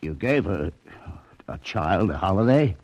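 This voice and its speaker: An actor playing a sardonic, exasperated police lieutenant in a 1940s radio drama, with a dry, gruff, husky delivery. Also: male voice, sits low, sing-song, darkish tone, lo-fi sound, full character voice. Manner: dry, sarcastic drawn-out gruffness.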